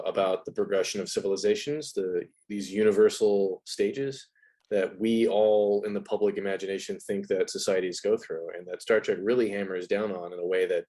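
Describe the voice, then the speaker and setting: A man's voice: deep voice, a little mellow. A man speaking as an interview guest, explaining things casually with articulate, wordy, academic phrasing. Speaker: interview guest, video essay presenter.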